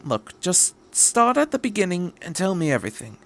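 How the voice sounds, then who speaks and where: A man voicing a quirky, cheerful, curious time-traveling adventurer in a story reading, with a brisk, rambling delivery. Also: high voice, very animated, a bit bright, slight background noise, voice pushed up, exaggerated voice. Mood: curious, probing. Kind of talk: audiobook-style story reading.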